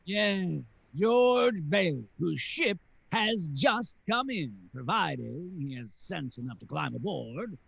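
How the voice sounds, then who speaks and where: Scheming old tycoon, 1940s radio drama. High-pitched, sharp, condescending, unhurried. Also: male voice, animated, vocal fry, breathy edge, dry recording, exaggerated voice. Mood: persuasive. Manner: slow, deliberate, condescending. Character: scheming, sneering old rich businessman villain.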